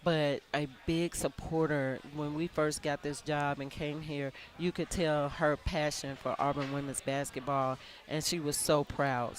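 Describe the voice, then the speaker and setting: A woman basketball coach in a live radio interview, soft-spoken and earnest, often restarting her sentences. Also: low-pitched, a little hiss or hum.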